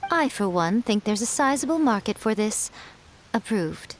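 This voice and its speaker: An actress voicing a poised, sly adult female fox spirit in a video game, speaking in a smooth, unhurried and teasing way as a publisher judging story ideas. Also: medium pitch, lively intonation, slight background noise, natural voice.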